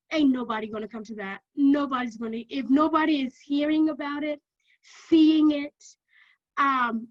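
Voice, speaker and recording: A woman with a Kenyan accent giving an energetic informational talk to prospective students, often checking in with "okay?". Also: high voice, highs cut off. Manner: energetic, keeps checking in with "okay?", repeats for emphasis. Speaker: university lecturer.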